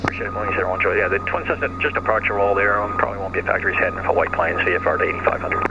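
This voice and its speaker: A man's voice: low-pitched, racing, gravelly. A man working as an air traffic controller, giving clipped instructions over an aircraft radio. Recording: muffled aviation radio over engine noise.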